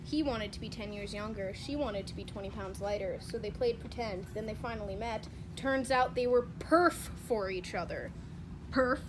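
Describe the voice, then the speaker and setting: A woman's voice: medium pitch, moderate pace. A young woman casually critiquing and reading poems among friends, blunt, sweary and sarcastic. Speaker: amateur poetry critic. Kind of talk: casual mocking commentary and dramatic poem reading among friends. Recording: noisy informal group recording.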